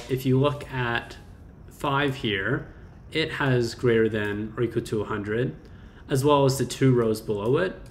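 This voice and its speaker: A young man casually walking through a coding problem, thinking aloud with fillers and small self-corrections as he types. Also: mid-range voice. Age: young adult. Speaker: coding tutor. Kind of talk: casual screen-share coding walkthrough. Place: small indoor room. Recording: roomy echo, faint keyboard noise.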